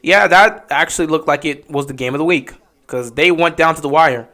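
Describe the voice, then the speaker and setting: A man giving casual live play-by-play commentary on a stream, getting excited and loud on big plays. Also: on the high side, brisk. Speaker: livestream game commentator.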